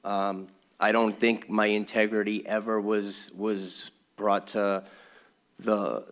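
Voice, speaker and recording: A man speaking formally as a city councilor at a meeting, with a halting, hesitant delivery that repeats words. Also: fairly low pitch. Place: indoor public council meeting room.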